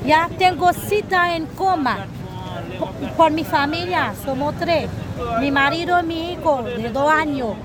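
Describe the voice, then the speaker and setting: Young woman, halting, high voice. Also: a loud crowd. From Haiti. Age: young adult. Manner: halting, searching for words, pleading questions. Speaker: migrant giving testimony. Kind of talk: recorded personal testimony. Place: outdoors in a town near a border.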